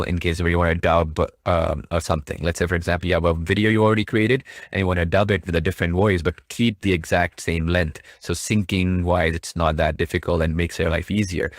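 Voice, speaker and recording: Deep-voiced man giving a software tutorial. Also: no room sound, clean studio sound. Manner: repetitive, explanatory, often checking with "right?" and "okay?". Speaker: tutorial presenter. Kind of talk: casual software tutorial narration.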